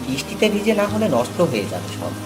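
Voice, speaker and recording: A man's voice: medium pitch, wildly swooping pitch, quick pace, creaky. A man playing a kindly, familiar village man with goods to carry in a moral-story cartoon, with rain sounds behind him. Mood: friendly, cautious. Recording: music and rain under the voice.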